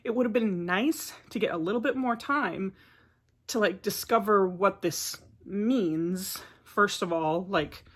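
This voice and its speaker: A woman vlogger who is getting over a cold talks through her thoughts on books in a loose, rambling way, dropping filler words as she goes. Her voice sits at an ordinary middle pitch. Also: a little slow, fairly bright tone. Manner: chatty, rambling, many filler "like"s. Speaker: book vlogger. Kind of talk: casual book review vlog.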